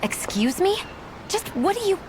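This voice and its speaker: A woman's voice: very high voice, very animated, normal speed, noisy background. An actress playing a guarded, troubled young woman in a video game cutscene. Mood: defensive. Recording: music behind the voice.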